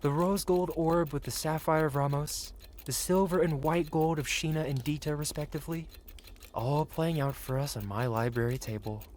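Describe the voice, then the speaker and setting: A man's voice: a little high, fairly even intonation, moderate pace, darkish tone, a little hiss or hum, lightly acted. Man playing a suave, courtly dragon patron. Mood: playful, intimate. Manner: formal, flowery, courteous speech addressed to one listener. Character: possessive, wealthy dragon merchant patron, male, suave and courtly. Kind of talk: ASMR roleplay, intimate romantic fantasy audio drama.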